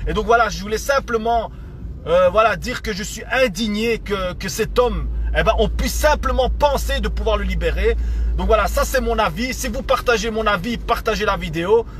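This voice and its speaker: Belgian man, 30s, vlogger; higher voice, repeats himself, stumbles through an earnest rant. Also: moderate pace, fairly bright tone, soft background music. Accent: Belgian French. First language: Italian heritage not stated as first language. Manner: earnest, stammers and repeats words, leans on fillers. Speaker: vlogger addressing viewers. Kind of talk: casual opinion rant to camera.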